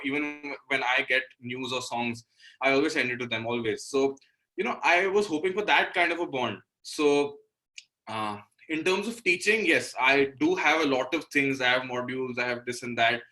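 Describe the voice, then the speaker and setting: Bright-voiced Indian man, earnest and conversational. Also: medium pitch, low-quality recording. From Delhi, India. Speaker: interview guest, musician and guitar teacher. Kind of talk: casual interview conversation.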